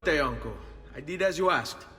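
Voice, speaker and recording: Young Italian nobleman, headstrong, earnest, highish voice, Italian accent, game cutscene, music behind. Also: animated, normal speed, pushed and bright. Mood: stubborn, reserved. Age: young adult. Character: proud, hot-headed young Italian nobleman, earnest nephew. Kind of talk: video game cutscene acting.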